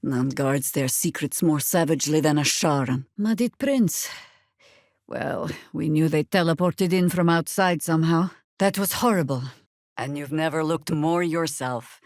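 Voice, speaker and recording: An actress playing a world-weary, stern veteran druid woman in a video game, with dry, sardonic, measured delivery. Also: low-pitched, darkish tone, no echo. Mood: grave. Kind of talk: scripted game character dialogue and spell calls.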